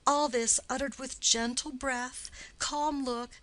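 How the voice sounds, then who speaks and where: Woman acting loyal young man, earnest messenger, declamatory, forceful bright tone, classical drama. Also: sits high, fairly even intonation, takes it slowly. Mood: solemn. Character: loyal young male friend, urgent bearer of bad news. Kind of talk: classical stage-play reading.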